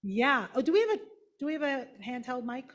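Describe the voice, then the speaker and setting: A woman's voice: sits high, very animated, a little fast. A woman from Brooklyn leading a service, welcoming newcomers casually and greeting them by name. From Brooklyn, New York. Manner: chatty, informal, greets people by name. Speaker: service leader. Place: prayer hall with attendees and online viewers.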